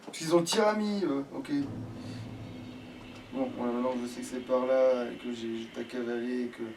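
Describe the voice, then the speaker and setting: A man's voice: mid-range voice, normal speed, darkish tone. A man giving casual let's play commentary, slangy and prone to swearing. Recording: distant mic with faint noise.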